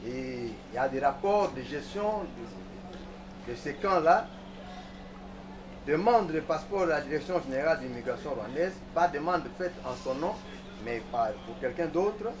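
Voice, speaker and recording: A man with a slightly high voice addresses a court as prosecutor. He lists evidence in a formal, deliberate, emphatic way. The sound is echoey and picked up from a distance in the courtroom. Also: expressive. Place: large indoor courtroom. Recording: echoey courtroom, distant mic, faint noise.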